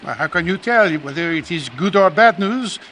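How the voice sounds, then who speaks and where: A man's voice: high voice, animated, moderate pace, bright, forceful tone, slight background noise. A man playing a terse, impatient helper to the hero in an old-time radio adventure. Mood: impatient, brisk.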